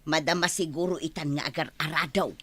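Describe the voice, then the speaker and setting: A bossy, sharp-voiced Ilocano farm wife. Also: female voice, low voice, a little fast. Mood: chatty. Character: bossy farmer's wife keeping tabs on husband. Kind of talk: Ilocano audio drama acting.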